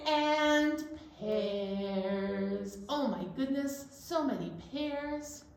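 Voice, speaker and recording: A woman with a fairly high, unhurried voice leads a children's storytime in an animated, simple way. She moves between talk and sing-along songs. The recording is roomy and picked up from a distance, with faint background noise. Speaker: storytime presenter at a library.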